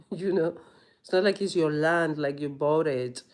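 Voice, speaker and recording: Earnest low-voiced woman musing aloud. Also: unhurried, darkish tone. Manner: earnest, rambling, full of "you know". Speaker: yoga teacher. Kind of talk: reflective personal monologue.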